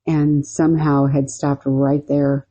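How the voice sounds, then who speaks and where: A woman's voice: low voice, little pitch movement, slow, dark tone. A woman co-hosting a podcast, telling a story casually, rambling with lots of "you know" and "like". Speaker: podcast co-host.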